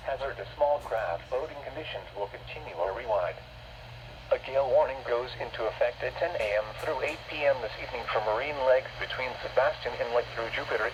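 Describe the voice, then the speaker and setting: A man's voice: medium pitch, highs cut off. A man reading a formal, impersonal scripted weather bulletin in clipped segments, heard over a weather radio broadcast. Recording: noisy radio reception, static.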